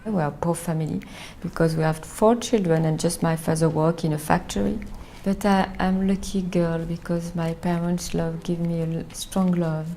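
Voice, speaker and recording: A middle-aged woman from France with a French accent, speaking English as a second language in a reflective interview, halting and searching for words. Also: on the low side, fairly even intonation, warm tone, a little hiss or hum. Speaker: documentary interviewee.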